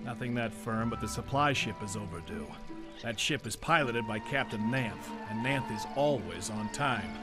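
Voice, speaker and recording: A man's voice: fairly low pitch, expressive, normal speed, lightly acted. An actor in an audio drama playing a roguish, easygoing smuggler and family man. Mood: easygoing concern. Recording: music score behind the voice.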